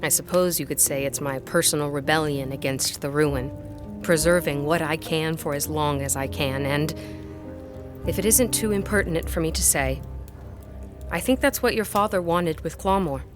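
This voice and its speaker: Give a gothic audio drama heroine, played by a woman: a principled, curious historian with a lowish voice. She speaks in formal, literary sentences and sounds earnest, over faint music. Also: normal speed, close to an everyday voice. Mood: gentle, thoughtful. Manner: articulate, formal, literary phrasing.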